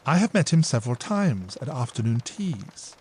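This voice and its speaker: A man's voice: medium pitch, sing-song, normal speed, a little mellow, slightly rough. A man voicing an impetuous, playful younger sister who talks eagerly and coaxingly.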